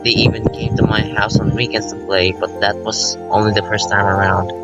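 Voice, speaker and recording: Low-voiced man rambling over game music. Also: normal speed, muffled recording. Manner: informal, self-interrupting, excitable. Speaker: let's player narrating a visual novel. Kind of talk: casual rambling gameplay commentary with reading aloud. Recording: music playing behind the voice. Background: visual novel game music.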